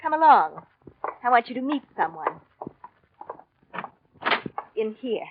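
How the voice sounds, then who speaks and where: A woman's voice: fairly high pitch, big pitch swings, slow pace, background noise. An actress playing a scheming, possessive mistress in a 1940s radio drama, coaxing and silkily controlled as she presses her will. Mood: cool menace. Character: scheming, possessive mistress, coolly cruel wife. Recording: dull vintage 1940s radio recording.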